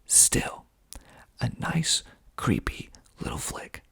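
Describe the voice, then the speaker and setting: A man reading aloud in a whispered ASMR style, deliberate and breathy, with wry, deadpan asides. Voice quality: breathy, mostly unvoiced whisper. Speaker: filmmaker and actor hosting an ASMR video.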